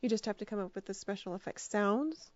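A woman's voice: mid-range voice, lively intonation, brisk, a little mellow, no room sound, highs cut off. A woman speaking as a radio drama producer and director, giving casual answers in an interview.

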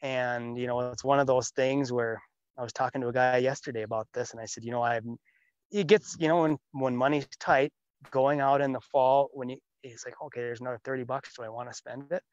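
A man's voice: mid-range voice, fairly even intonation, a little fast, no room sound, muffled recording. A man farmer being interviewed outdoors in a farm field, talking plainly with many restarts. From Minnesota. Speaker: farmer guest.

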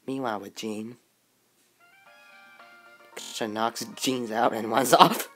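A woman reacting to a video in excitable commentary, exclaiming and sometimes halting or stammering over words. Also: mid-range voice, soft background music. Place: bedroom, indoors.

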